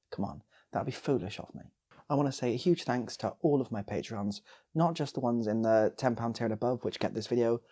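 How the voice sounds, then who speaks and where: A man's voice: medium pitch, dark tone, husky. A man speaking casually as a channel host, in a playful, rambling way with repeated phrases, like improvised comic storytelling.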